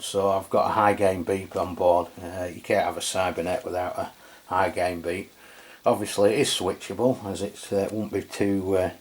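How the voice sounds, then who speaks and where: A man's voice: lowish voice, darkish tone. A man with an English accent giving a casual hands-on product review in an informal, explanatory way. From England. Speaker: radio reviewer and channel host.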